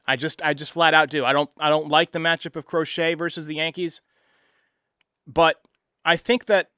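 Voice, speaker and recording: Bright, clear American sports show host, male. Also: on the high side, no echo, studio quality. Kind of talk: fan sports commentary, taking voicemails.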